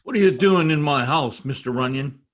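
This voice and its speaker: Suspicious gentleman of the house, radio crime drama, male actor: medium pitch, stiff courtesy, guarded control. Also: moderate pace, natural voice. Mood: indignant. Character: formal, guarded husband, a suspicious armed householder. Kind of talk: old-time radio drama acting. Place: home recording over video call.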